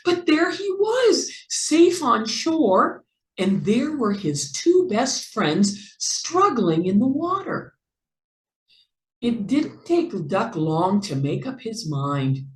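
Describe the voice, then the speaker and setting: A woman reading a children's story aloud with careful, expressive narration. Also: fairly high pitch. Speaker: storybook reader. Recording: echoey room.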